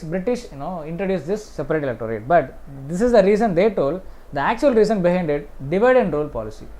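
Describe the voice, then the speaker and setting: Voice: medium pitch, darkish tone. Indian-accented teacher explaining exam questions. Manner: repetitive, often punctuating points with a short "yes". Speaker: exam-prep history teacher. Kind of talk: explanatory lecture working through exam questions.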